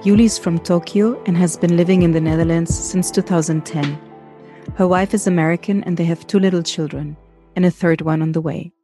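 A woman hosting a podcast, introducing her guest and asking questions in a measured way. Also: fairly low pitch, fairly even intonation, dark tone, soft background music. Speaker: podcast host. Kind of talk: interview hosting.

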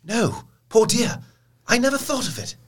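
An actor playing a bereaved young English nobleman who speaks formally and emotionally, in a halting, courteous way. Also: very high-pitched, wildly swooping pitch, fairly bright tone, heavily acted. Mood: pained. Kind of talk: period audio drama acting.

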